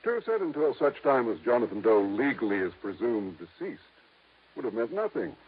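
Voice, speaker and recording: An actor playing a measured, discreet lawyer with a formal, deliberate way of talking in a 1950s radio drama. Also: male voice, medium pitch, sing-song, husky. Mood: dry, guarded. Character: measured, discreet small-town lawyer guarding a secret. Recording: tinny, narrow-band vintage radio recording.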